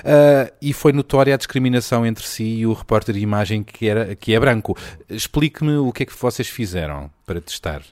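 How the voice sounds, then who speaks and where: A man's voice: mid-range voice, lively intonation, fairly quick, darkish tone, dry recording, studio quality. A man conducting an interview with probing, conversational questions.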